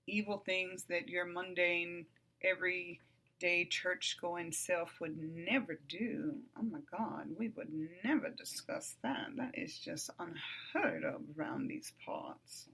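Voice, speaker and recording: A woman in her forties hosting a solo podcast, talking in a calm, even way that turns blunt and profane. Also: on the low side, takes it slowly, gravelly, breathy edge, poor audio. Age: 40s. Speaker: podcast host.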